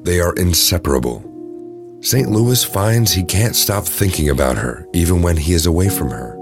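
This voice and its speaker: A man narrates an audio drama in a very low voice. His scripted storytelling runs over clearly audible music.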